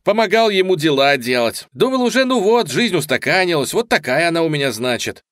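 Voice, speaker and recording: A menacing young thug, cold and contemptuous, speaking slowly and pressing every word, as a male storyteller puts him on. The voice sits higher and louder than the storyteller's own. The recording is dry and close. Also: sing-song, no echo. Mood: bitter.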